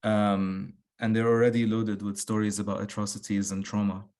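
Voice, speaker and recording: A man gives a thoughtful, hesitant answer on an online panel over a video call, often stumbling and repeating his words. His voice is on the low side and stays fairly flat throughout. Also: normal speed. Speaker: workshop writer.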